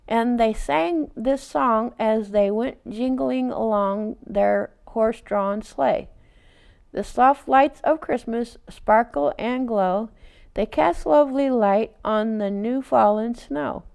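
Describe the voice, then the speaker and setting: A woman reading a children's picture book aloud, adding casual asides in a gentle, unhurried read-aloud manner. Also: fairly high pitch, gravelly, clear, resonant tone. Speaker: storytime reader.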